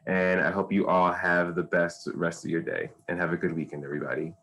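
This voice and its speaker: Deep-voiced male panel moderator. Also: level tone, a little fast, fairly bright tone. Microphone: video call.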